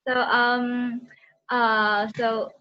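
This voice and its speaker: Higher-voiced woman hosting a video call. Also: flat delivery, extremely slow. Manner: polite, hesitant, lots of fillers. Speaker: host. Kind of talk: hosting an online talk session. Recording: dull lo-fi video call with faint noise.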